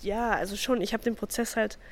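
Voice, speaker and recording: A woman's voice: mid-range voice, steady tone, fairly quick. A woman artist reflecting casually on her work in an interview. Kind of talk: interview answers.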